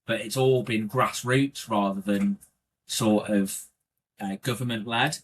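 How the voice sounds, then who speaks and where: A man's voice: fairly low pitch, fairly even intonation, a little slow. A man from North Wales with a North Welsh accent, an indie filmmaker guest talking in an earnest, reflective way and often restarting his sentences. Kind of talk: casual podcast interview.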